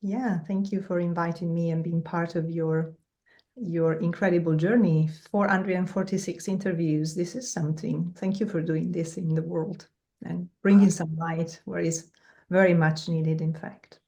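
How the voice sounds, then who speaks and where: A middle-aged woman, a mindfulness teacher and guest, answering in a measured, reflective way with pauses. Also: on the low side, fairly even intonation, dark tone.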